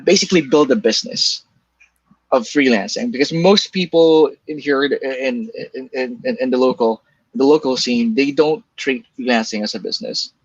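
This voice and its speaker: A man with a Filipino accent talks about his work in a casual, halting way, restarting his sentences often. His first language is Bicol. The recording sounds dull and lo-fi, dry and close with no room echo. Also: medium pitch, slightly rough. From Philippines. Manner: halting, many restarts and fillers, laughs lightly. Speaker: guest, email copywriter. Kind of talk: casual interview answers.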